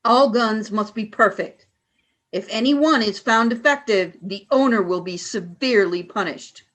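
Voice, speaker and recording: Female alien military leader, played by an actress, medium pitch, clipped and icy, menacing authority, radio sci-fi drama. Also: moderate pace, a bit bright, close to an everyday voice. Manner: clipped, barked orders. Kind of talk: radio play acting.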